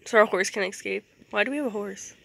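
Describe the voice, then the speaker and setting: A young woman, sick and sounding deeper, chatting offhandedly while playing a game with her sister. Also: fast, vocal fry, slight background noise. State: sick, voice deeper than usual. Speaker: co-player sister. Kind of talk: casual gaming chat. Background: loud fan.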